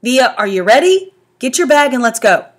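A woman's voice: medium pitch, very animated, a little slow, fairly bright tone. A woman voicing an impatient, teasing middle-aged father who talks sternly. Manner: stern, exasperated.

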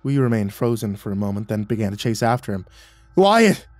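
A man narrating a first-person horror story in a tense, halting way with stammered restarts. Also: lowish voice, steady tone, warm tone, no room sound. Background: faint music.

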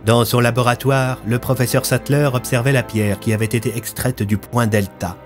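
Man, thirties, mid-pitched voice, scripted dramatic narration, soft music underneath. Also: flat delivery, moderate pace. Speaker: narrator of his own audio fiction. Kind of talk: scripted dramatic storytelling in French.

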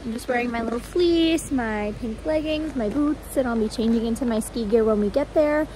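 A woman's voice: fairly high pitch, normal speed, warm tone, slight background noise, poor audio. A young American woman chatting casually to the camera as a vlogger, recorded on a phone at arm's length. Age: young adult. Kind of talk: casual vlog chat to camera.

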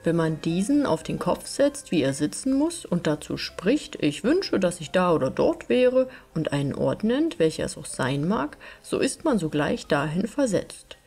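Lady narrator doing a wild fairytale robber explaining stuff, lowish, music under it. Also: very animated, normal speed, hardly any pauses, darkish tone, husky, heavily acted. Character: quarrelling wild male robber explaining magic loot.

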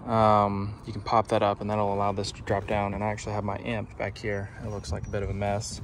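A man's voice: fairly low pitch, completely flat delivery, brisk. A man giving a casual, informal hands-on DIY walkthrough, recorded on a handheld phone inside a parked truck. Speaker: DIY video presenter. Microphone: handheld phone camera. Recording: clear background noise, vehicle ambience.

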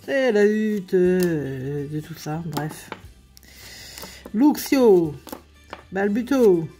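Woman host, casual table-top card opening, chatty, quiet music under it. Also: fairly low pitch, animated, very slow. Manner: chatty, chuckling, commenting card by card. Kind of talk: casual chat while opening card packs. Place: indoors at a table covered in cards.

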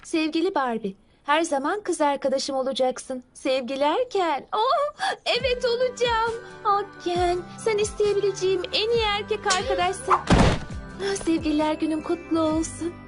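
A sweet, girlish cartoon doll, young and affectionate. The voice sits very high and is openly expressive, over clear background music. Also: moderate pace. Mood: touched, loving. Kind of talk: animated cartoon dub acting.

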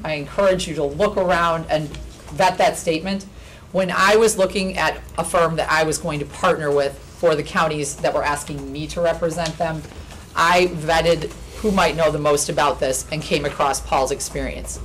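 A woman attorney with a naturally loud voice, making an earnest, persuasive presentation at a podium in a county meeting room. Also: sits low, slight background noise, rough recording. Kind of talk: formal pitch presentation. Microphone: podium microphone.

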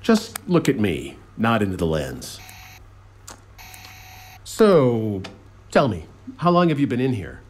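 A man playing a cautious, awkward male TV reporter in an animated film, halting and probing. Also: on the low side, slightly rough, exaggerated voice.

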